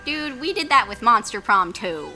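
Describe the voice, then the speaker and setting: Excitable, bright, high-pitched geeky woman. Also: moderate pace. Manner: excitable, geeky, self-mocking. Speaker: gaming channel player reading out a story game. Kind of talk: playful Let's Play reading with joking asides. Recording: music playing behind the voice.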